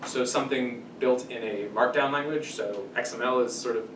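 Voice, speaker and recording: A man's voice: mid-range voice, moderate pace. A man in his thirties giving an informal introductory lecture in a conversational, explanatory way, recorded by a distant camera microphone in a small meeting room. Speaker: workshop presenter. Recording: echoey room from afar, noisy.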